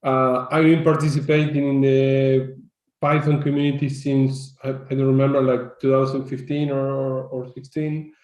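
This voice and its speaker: A man's voice: medium pitch, flat delivery, normal speed, a little mellow, reverberant, low-quality recording. A man from Argentina introducing himself as a board candidate, hesitant and restarting often. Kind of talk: online meeting self-introduction.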